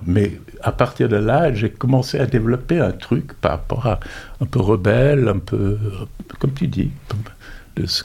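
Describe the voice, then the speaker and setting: Gravelly, husky man near eighty. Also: on the low side, darkish tone. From raised in the United States. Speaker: climate activist guest. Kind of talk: reflective personal storytelling.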